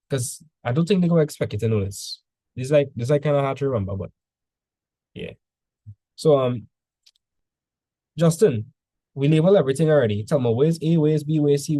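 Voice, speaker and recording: A man's voice: medium pitch, expressive, moderate pace, long pauses, dark tone, no room sound. A male teacher tutoring students in a casual session, full of "like" fillers, quizzing them with questions as he goes.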